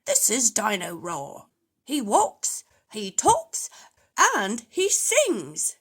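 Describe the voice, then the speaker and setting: A woman's voice: medium pitch, wildly swooping pitch, drawn-out words, slightly rough, exaggerated voice. Woman as a cheery fox shopkeeper. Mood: cheery, eager. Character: cheery, eager male fox shopkeeper in a children's story.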